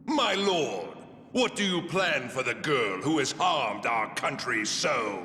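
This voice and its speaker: A man playing a sly, smug royal schemer in a fantasy game, with a mocking, theatrical, drawn-out delivery. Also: medium pitch, very animated, pushed and bright, slight background noise, heavily acted. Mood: scheming urging. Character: sly, smug scheming male retainer of a king. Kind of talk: dramatic fantasy game voice acting.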